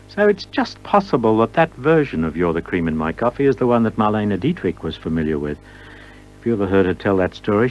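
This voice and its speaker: A man's voice: lowish voice, lo-fi sound, slight background noise. A man hosting a music show, commenting casually on old records with rambling, conversational asides. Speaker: radio music show host.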